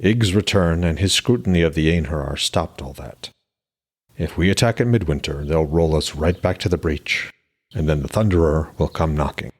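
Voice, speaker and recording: Man acting giant chieftain, fantasy audiobook, deep rumbling pitch, rough-edged, calm, measured, unyielding. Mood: grave, explaining. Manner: even, measured, implacable. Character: Skrymir, calm implacable male giant high chief.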